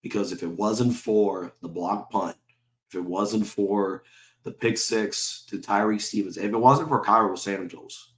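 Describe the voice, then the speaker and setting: Brief: deep-voiced male sports-vlog host, sore throat, heated and profane, roomy echo. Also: measured pace. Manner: heated, profane, repetitive rhetorical questions. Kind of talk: ranting fan commentary to camera.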